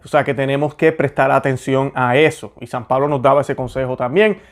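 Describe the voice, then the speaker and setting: A young man hosts a faith program, earnest and conversational, often pausing on fillers as he works through his thoughts. His voice sits at an ordinary middle pitch. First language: Spanish. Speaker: host. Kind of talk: religious commentary and prayer.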